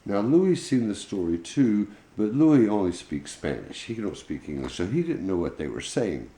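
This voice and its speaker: A man with a low, very mellow voice tells a homespun bedtime story. His phrasing is folksy and off the cuff, and the room adds a noticeable echo around him. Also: very animated, moderate pace. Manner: folksy, colloquial grammar. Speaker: pet channel host telling a bedtime story. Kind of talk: informal improvised children's storytelling.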